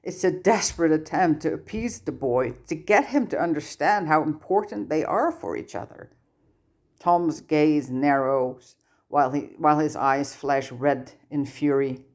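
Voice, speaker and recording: Podfic narrator, scripted story reading, medium pitch, audiobook style. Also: measured pace.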